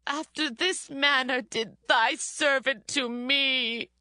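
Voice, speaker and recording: Actress as bright, high-voiced scheming wife. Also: female voice, slow, clean tone, no echo, studio quality, full character voice. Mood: accusing. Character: scheming seductive wife of an Egyptian master, accusing. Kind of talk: dramatised scripture reading.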